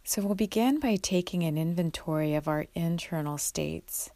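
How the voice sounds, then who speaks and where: Low-voiced woman leading guided meditation, creaky. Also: no room sound. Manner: gentle, unhurried, with long pauses. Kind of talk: guided mindfulness meditation, instructional.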